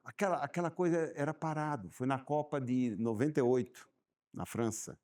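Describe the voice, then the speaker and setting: A man chatting on a podcast, telling animated stories and repeating words as he thinks. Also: mid-range voice, normal speed. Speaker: guest business owner. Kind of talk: casual podcast chat.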